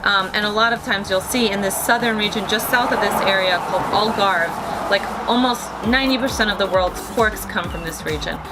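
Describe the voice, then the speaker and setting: A woman's voice: medium pitch, fairly quick, low-quality recording. A woman hosting a weekly wine tasting, describing wines in a chatty, bubbly way with lots of "like" fillers, with music under her voice. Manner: chatty, bubbly, heavy use of "like". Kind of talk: casual wine tasting presentation. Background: background music.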